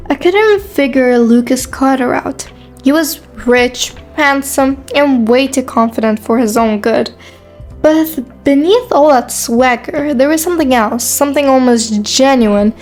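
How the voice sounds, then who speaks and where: Woman as guarded high school girl, fairly high voice, dry and exasperated, story narration, faint background music. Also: darkish tone. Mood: puzzled, reluctant. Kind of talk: first-person story narration and dialogue.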